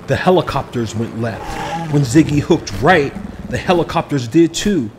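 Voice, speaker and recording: A man reading a children's picture book aloud as an expressive, scripted narration. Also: medium pitch, slight background noise.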